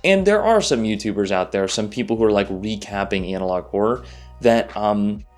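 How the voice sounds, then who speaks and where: Low-voiced man giving informal commentary. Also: lively intonation, moderate pace, faint music behind. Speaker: YouTuber, analog horror commentator. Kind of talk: informal spoken video commentary.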